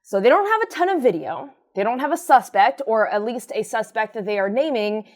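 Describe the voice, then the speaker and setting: A woman's voice: on the high side, expressive, brisk. A woman hosting a news commentary show in an informal, conversational way, with sardonic, wry comedic asides.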